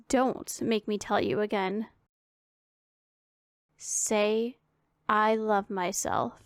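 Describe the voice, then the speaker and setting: A powerful demoness acted by a woman in an intimate ASMR roleplay, with a fairly high voice and slow, deliberate delivery. She is imperious and formal, giving orders with a caring streak underneath. The sound is close and dry. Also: no room sound. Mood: firm, commanding. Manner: authoritative, formal, imperious diction. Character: stern, commanding yet secretly caring powerful female demon. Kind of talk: scripted ASMR roleplay addressed to the listener.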